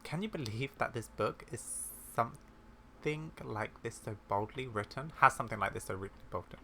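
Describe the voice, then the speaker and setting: A man's voice: medium pitch, animated, moderate pace, pushed and bright. A man reading fantasy game quest dialogue aloud in a dramatized, storybook-like way. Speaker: gaming video creator. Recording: noticeable background noise.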